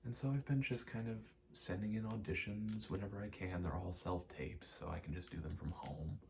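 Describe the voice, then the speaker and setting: Deep, gravelly young American man rambling. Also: normal speed, a little hiss or hum. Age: 20s. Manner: candid, casually profane. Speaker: ASMR rambler and aspiring actor. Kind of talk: rambling ASMR, casual personal chat.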